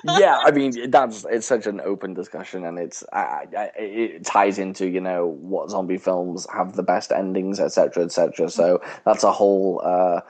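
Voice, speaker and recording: Low-voiced man chatting on a podcast. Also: normal speed, hardly any pauses, darkish tone. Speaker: podcast co-host and guest. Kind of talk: casual podcast discussion.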